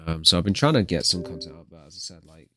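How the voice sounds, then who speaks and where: A man with a slightly croaky voice from a cold, giving hesitant, rambling let's play commentary as a gaming video host. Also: sits low, expressive, fast, fairly bright tone. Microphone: desktop USB microphone.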